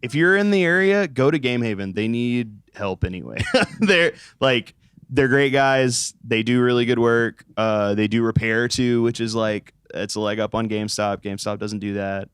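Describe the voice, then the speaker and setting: A man's voice: medium pitch, moderate pace, a bit bright, clean tone, no echo. A young American man chatting casually as an interviewed band musician, joking, rambling and swearing. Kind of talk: casual chat.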